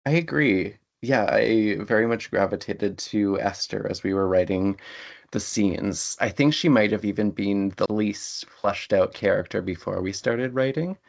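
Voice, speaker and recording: A man's voice: on the low side, measured pace, gravelly. A man talking casually as a writer being interviewed. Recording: dull, band-limited audio.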